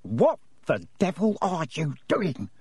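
A man's voice: medium pitch, lively intonation, unhurried, a little mellow, dry recording, studio quality. A man voicing a bullying, short-tempered middle-aged uncle in an audiobook. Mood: furious, menacing. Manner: menacing, through gritted teeth. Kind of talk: audiobook character voice.

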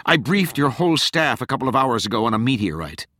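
A man's voice: mid-range voice, big pitch swings, quick pace, sharp tone, dry recording, studio quality, voice pushed up. A man voicing a desperate younger woman, an intelligence liaison, in an urgent, emphatic audiobook character voice. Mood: exasperated, insistent.

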